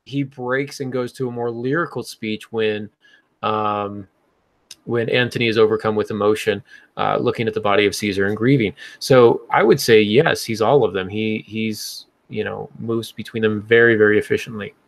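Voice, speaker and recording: A man's voice: fairly low pitch, fairly even intonation, normal speed, gravelly. A man co-hosting a podcast, thinking aloud as he explains in a casual panel discussion about writing. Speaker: podcast co-host.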